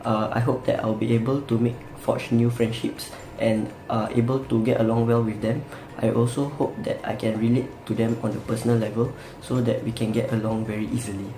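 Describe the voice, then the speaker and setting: A man's voice: medium pitch, level tone, fairly quick, few pauses, mellow. A young man, a student, talking through a reflection hesitantly, with many fillers and restarts. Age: young adult. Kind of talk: semi-prepared reflection. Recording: echoey room with background noise.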